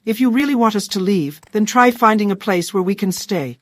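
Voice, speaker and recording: A woman voicing an earnest, weary leader of fantasy vagrants in a video game, speaking in a measured, plain-spoken way. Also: medium pitch, no room sound, close to an everyday voice. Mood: apologetic, pleading. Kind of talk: scripted video game character dialogue.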